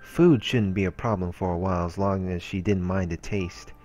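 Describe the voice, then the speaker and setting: A man narrating a visual novel aloud in a measured storytelling manner. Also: deep voice, steady tone, darkish tone. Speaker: let's-play narrator. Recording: voice-over with music behind. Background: game music.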